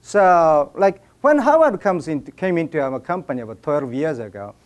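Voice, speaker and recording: A man's voice: a little high, very animated, normal speed. A man with a Japanese accent gives a humorous talk to a live audience, getting people to join in. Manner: jokey, drives audience participation. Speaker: speaker at an event. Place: event room with an audience.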